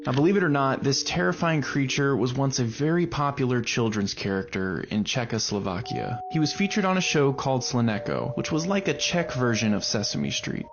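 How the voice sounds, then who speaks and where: A man's voice: medium pitch, animated, brisk, hardly any pauses, dull audio. A man reading scripted narration for a video.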